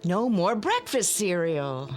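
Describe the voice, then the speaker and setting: Harried, strict mom, slightly low voice with animated swings, anxious scolding, radio drama. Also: female voice, slow, soft background music, heavily acted. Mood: exasperated. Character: harried, strict, worried mother of young boy. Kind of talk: modern radio drama acting.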